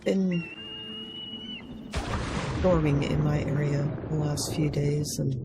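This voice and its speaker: A man hosting a gaming channel, chatting casually through a playthrough while his voice is rough from sinus trouble. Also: fairly high pitch, fairly even intonation, slow, loud music behind the voice. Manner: chatty, talks to the game and himself. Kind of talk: casual game commentary.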